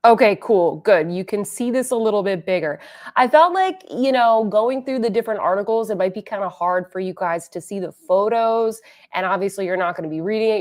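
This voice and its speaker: A woman hosting a beauty livestream, chatting casually with viewers and reading their comments aloud, a little congested and sniffly. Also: medium pitch. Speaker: beauty channel host.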